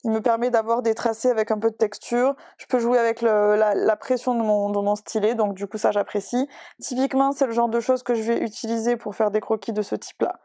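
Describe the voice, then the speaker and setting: A woman's voice: on the high side, level tone, brisk, warm tone, no echo, studio quality. A young woman illustrator giving a casual drawing tutorial, chatty and self-deprecating, with many hesitations. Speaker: digital illustrator.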